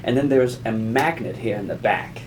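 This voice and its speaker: A man giving an informal conversational lesson as an explainer, in a halting, rambling way with self-repetition. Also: medium pitch, noisy background. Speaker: audio explainer teaching beginners.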